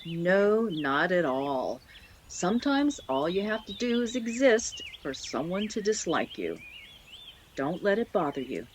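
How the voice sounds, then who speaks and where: A woman plays a kindly, firm female mouse in an audio drama. She speaks slowly and reassuringly with expressive pitch swings, faint birdsong behind her. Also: slight background noise. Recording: faint outdoor ambience with birdsong.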